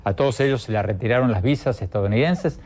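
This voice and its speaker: A man anchoring a news program, presenting a report and putting measured questions to a guest. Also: medium pitch, darkish tone.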